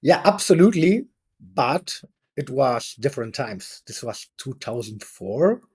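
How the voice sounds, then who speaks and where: Chilean-guy in his 40s, choppy english, lots of restarts and little laughs, middle pitch. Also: very animated, a little mellow. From Chile and Germany. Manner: non-native English, halting restarts, chuckles often. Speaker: guest, venture capitalist. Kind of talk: casual interview talk.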